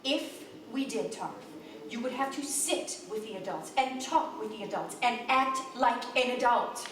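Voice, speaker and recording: Higher-voiced woman reader, theatrical narration, far from the mic in a very echoey hall. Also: moderate pace, noisy background. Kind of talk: live ensemble reading of a novel. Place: theater stage.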